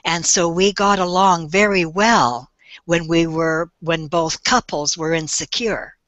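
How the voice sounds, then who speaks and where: An older woman speaking on a webinar call as a guest, telling her story in a gentle, reflective way. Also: on the low side, steady tone, takes it slowly, no room sound, muffled recording. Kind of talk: slow, thoughtful storytelling.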